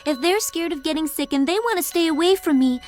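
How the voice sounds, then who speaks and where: A woman voicing a gentle, earnest young girl in a video game, sincere and polite in her delivery. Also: very high-pitched, animated, fast, soft background music. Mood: earnest, resolved. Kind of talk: English-language video game voice acting.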